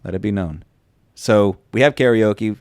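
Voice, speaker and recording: A man hosting a podcast, telling stories in animated casual chat with lots of fillers. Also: lowish voice, unhurried, clear, resonant tone, dry recording, studio quality. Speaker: podcast host.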